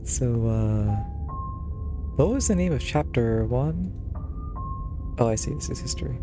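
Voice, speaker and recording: A man's voice: on the high side, wildly swooping pitch, measured pace. A man streaming a game with casual, reactive commentary, musing and thinking aloud as he plays. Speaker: streamer playing a visual novel. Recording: loud game music behind.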